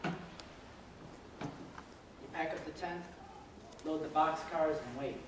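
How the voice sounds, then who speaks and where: A man's voice: a little high, takes it slowly. A man on stage playing a bitter, ruthless circus boss in a readers theater drama. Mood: bitter, contemptuous. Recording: very echoey hall, distant mic, faint noise.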